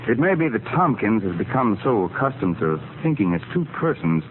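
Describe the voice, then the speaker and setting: A grave, knowing gentleman, talks quickly in a lowish, animated voice. He explains earnestly in vintage radio drama style on a tinny recording. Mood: grave explanation. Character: earnest, knowing male expert on troubled minds. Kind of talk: 1940s radio acting. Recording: tinny old radio recording, faint noise.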